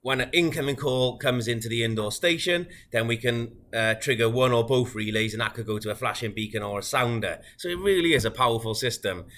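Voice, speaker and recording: Male product demonstrator, bright forceful voice, mid pitch, methodical and informal tutorial delivery. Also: brisk, few pauses. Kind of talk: unscripted software walkthrough tutorial.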